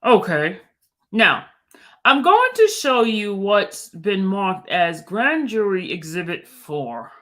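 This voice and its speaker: Woman voicing a male prosecutor, formal, measured, slow delivery. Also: medium pitch, very animated, a bit bright. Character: male prosecutor questioning a witness.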